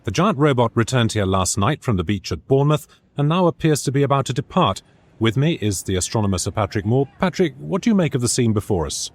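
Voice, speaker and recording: Earnest british news presenter guy, medium pitch, live radio report, played straight. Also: sing-song, fast talker. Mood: earnest, measured.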